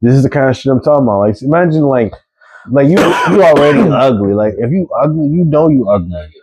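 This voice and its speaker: A man's voice: mid-range voice, expressive, fairly quick, a little mellow, no echo, low-quality recording. A man co-hosting a comedy podcast who rambles through a half-serious point, full of filler words. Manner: rambling, many "like" and "you know what I mean" fillers, half-serious musing. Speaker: podcast co-host. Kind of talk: casual comedic podcast banter.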